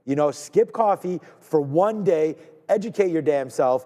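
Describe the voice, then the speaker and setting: A man from Canada hosts a live trading stream in loose, rambling banter, repeating himself as he greets viewers one after another. His voice sits high and mellow. A long reverb trails behind it. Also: a little slow. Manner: energetic, rambling, repeats words, shouts out viewers.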